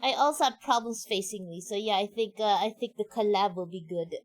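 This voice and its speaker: A woman's voice: medium pitch, lively intonation, normal speed. A woman streamer chatting casually and reacting to a game in a giggly, exclaiming way. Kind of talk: casual livestream chat and game commentary. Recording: music behind. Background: game music.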